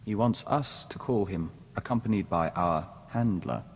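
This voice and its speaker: A calm male diplomat bonded to a hive, with a low voice and measured, formal delivery. He sounds earnest, like scripted game dialogue, in a long reverb with some background noise.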